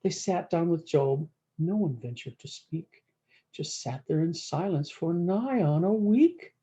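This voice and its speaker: Mellow woman reading a folksy storybook, muffled. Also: fairly low pitch, expressive. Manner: folksy, drawn-out emphatic words. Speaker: storyteller reading a picture book. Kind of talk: rhyming cowboy-dialect storybook read-aloud with brief asides.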